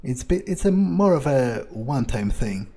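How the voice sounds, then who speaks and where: A man's voice: medium pitch, big pitch swings, moderate pace, mellow. A man improvising as an aloof, cynical vampire in a video game roleplay, speaking casually and with some hesitation. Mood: guarded.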